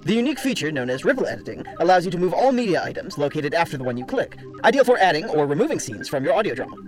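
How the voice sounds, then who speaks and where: A man reading a rapid-fire, lively advertising spiel. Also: a little high, rough recording. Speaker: guest ad reader. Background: music bed under the read.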